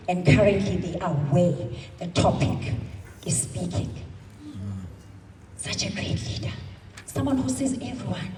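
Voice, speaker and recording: A South African woman in her thirties giving an emphatic motivational speech at a convention, stretching her words into slow dramatic pauses. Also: mid-range voice, darkish tone, a little hiss or hum. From South Africa, Eastern Cape. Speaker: convention speaker. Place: large hall. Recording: echoey hall, speaker far from mic.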